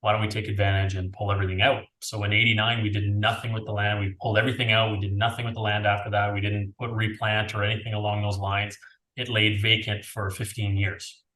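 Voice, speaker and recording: A Canadian man with a lowish voice talks on a video call. He explains things casually and chattily, like a guest in an interview. The sound is echoey, with plenty of room around the voice. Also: little pitch movement, fast, bright, forceful tone. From Niagara region, Canada. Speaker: winery owner being interviewed. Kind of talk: conversational interview answers. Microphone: video call, earphones.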